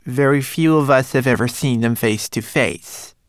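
A man voicing a wise old llama, speaking slowly and solemnly in an audiobook. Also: mid-range voice, clean tone, no room sound, studio quality, pitched above the performer's own voice, close to an everyday voice. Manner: slow, solemn.

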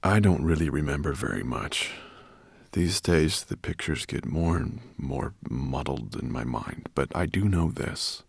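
A man voicing a forgetful old male dragon in a breathy murmur, slow and halting, as in a storybook reading. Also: extremely low pitch, lively intonation, dry recording, clean studio sound, pitched below the performer's own voice, heavily acted. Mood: wistful.

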